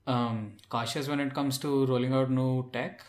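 The recording is noticeably roomy and echoing. A man with a medium-pitched voice talks as a podcast co-host. He explains things in a measured, conversational manner. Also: fairly even intonation. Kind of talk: casual tech discussion.

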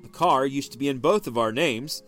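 A man voicing a suspicious, volatile husband who talks in a forceful, accusing way. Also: fairly high pitch, expressive, brisk, faint music behind.

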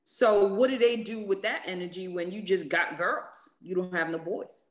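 A woman's voice: lowish voice, moderate pace. A woman hosting a casual group chat, telling stories with animation. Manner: animated, storytelling.

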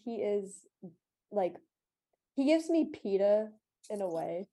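A woman's voice: medium pitch, sing-song, slow, mellow. A young woman co-hosting a casual book discussion podcast, chatty and gushing, peppering her talk with "like". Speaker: podcast co-host.

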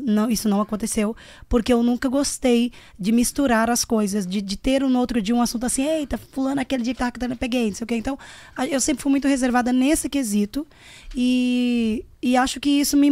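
Woman singer guest, quick animated storyteller, higher voice, dry close mic. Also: no echo. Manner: animated storyteller, quotes herself. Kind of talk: casual bar-table chat.

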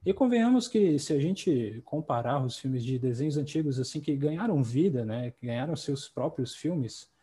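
A man hosting a casual podcast, easygoing and chatty, often tossing in little tag questions. His voice is mellow and a bit husky. He sits at a middle pitch. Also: moderate pace, hardly any pauses. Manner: relaxed, conversational, many tag questions. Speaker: podcast host.

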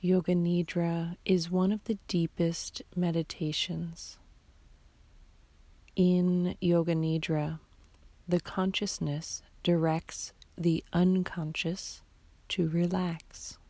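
A woman guiding a sleep meditation in a soft, half-whispered, soothing voice, leaving long pauses between short phrases. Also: on the low side, flat delivery, no echo. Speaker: meditation guide.

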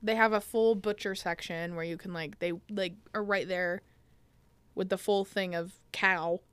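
A young woman chats on a podcast with a playful, stubborn streak, at an ordinary middle pitch. Her voice sounds close and dry, with no room echo. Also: steady tone, a little slow, fairly bright tone, clean tone. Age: young adult. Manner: chatty, playful, stubborn in arguments. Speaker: podcast co-host. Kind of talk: casual podcast banter.

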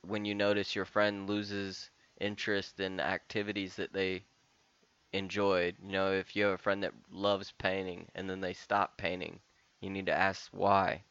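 A man's voice: low-pitched, completely flat delivery, measured pace, fairly bright tone, clear, resonant tone, no room sound, lo-fi sound. A man of about thirty talking casually and candidly in a rambling solo podcast monologue, often restarting and swearing. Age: 30s. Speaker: podcast host.

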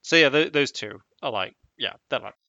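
A man chatting casually as a podcast co-host, talking in a halting, rambling way and often trailing off. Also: mid-range voice, lively intonation, sharp tone, no echo, dull audio. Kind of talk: casual chat about anime voice acting.

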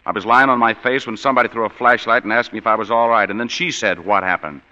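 An actor playing a hard-boiled private detective with dry, sardonic wisecracks, in 1940s radio drama. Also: male voice, lowish voice, fairly even intonation, quick pace, sharp tone. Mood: wry, tough. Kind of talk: 1940s radio drama with first-person narration. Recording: muffled 1940s radio broadcast with long reverb.

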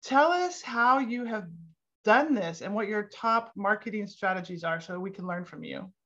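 A woman hosts a podcast interview with lively enthusiasm and laughs often. Her voice sits at a middle pitch. The audio is a little dull and muffled. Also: moderate pace. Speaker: podcast host. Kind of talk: casual interview conversation.

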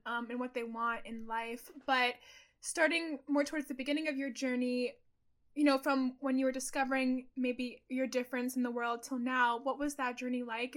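A woman's voice: high-pitched, a bit bright. A woman co-hosting a podcast, asking a guest encouraging interview questions. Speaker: podcast co-host.

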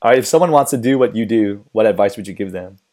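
A man hosting a podcast in casual chat, with a hoarse, raspy voice from losing it, laid-back and quick to laugh. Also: on the low side, mellow. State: hoarse, lost voice. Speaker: podcast host.

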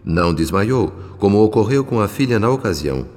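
Radio soap narrator: actor as grave benevolent Brazilian male spirit, low-voiced, solemn, deliberate, long reverb. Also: lively intonation, darkish tone, lightly acted. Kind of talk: scripted dramatic narration in a radio soap opera. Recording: long reverb, music underneath.

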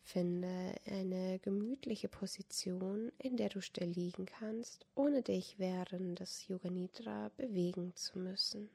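Very slow, breathy woman guiding meditation. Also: medium pitch. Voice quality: soft, breathy, whispery. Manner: calm, unhurried, soothing, with long pauses. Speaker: yoga nidra guide, podcast host. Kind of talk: guided meditation, soft instruction.

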